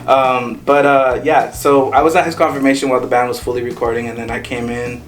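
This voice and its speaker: A man's voice: medium pitch, flat delivery, fairly quick. A man in his twenties, a band member, chatting in a rambling way with lots of fillers. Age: 20s. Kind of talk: casual chat. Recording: distant mic with background music.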